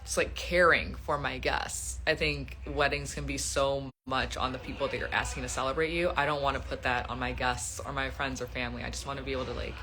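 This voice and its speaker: A young woman in her twenties talks into her phone on a casual live stream, her tone bright and forward. She chats loosely, laughs easily and answers viewer questions one after another, with a faint hiss under her voice. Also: extremely low pitch, steady tone, a little fast, few pauses. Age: 20s. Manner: chatty, laughs often, reads out viewer questions.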